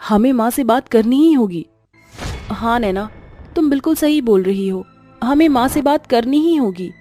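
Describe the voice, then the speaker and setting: A young daughter of a witch, upset and earnest, pleading in a raised, higher voice as a woman storyteller acts her out. Music plays under the horror-story dialogue. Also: expressive, moderate pace, mellow, natural voice. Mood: worried.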